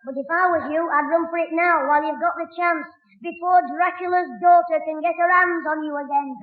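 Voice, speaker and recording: Cheeky, very high-voiced Northern English schoolboy. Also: fairly even intonation, a little fast. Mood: mocking. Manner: cheeky, wisecracking backchat. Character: cheeky, quick-witted young Northern schoolboy. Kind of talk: 1960s radio sitcom acting. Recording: roomy, tinny old radio broadcast recording.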